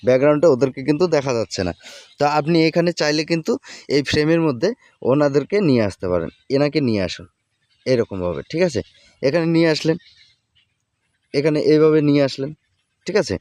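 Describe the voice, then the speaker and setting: A man's voice: fairly high pitch, expressive, quick pace, pauses often, a little mellow, no room sound. Man giving a casual step-by-step tutorial. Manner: repeatedly checks in while instructing. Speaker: tutorial host.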